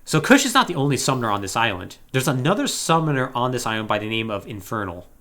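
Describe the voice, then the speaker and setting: An animated man hosts a gaming podcast, retelling a story in a casual recap style. His pitch moves around a lot as he talks, and he drops in wry, conversational asides. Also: quick pace. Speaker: podcast host. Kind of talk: casual plot recap commentary on a video game podcast.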